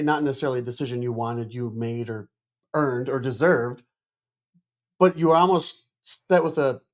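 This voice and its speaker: A man hosting a podcast, asking thoughtful, halting questions in a casual interview. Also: mid-range voice. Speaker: podcast host.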